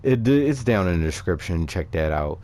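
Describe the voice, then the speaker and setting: A man giving casual, unscripted game commentary, thinking aloud in a halting way. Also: deep voice, animated, darkish tone. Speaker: gaming video creator.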